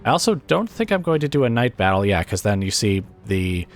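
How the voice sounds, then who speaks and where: A man with a raspy, weakened voice from illness, casually commentating a strategy game and thinking aloud with plenty of hesitant fillers. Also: on the low side, sing-song, fairly quick, soft background music.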